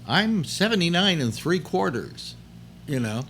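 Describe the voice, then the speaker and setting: A man in his late seventies hosting a casual chat, rambling and halting with many restarts. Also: a little high, very animated, a bit bright, slight background noise. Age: 70s. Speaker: host.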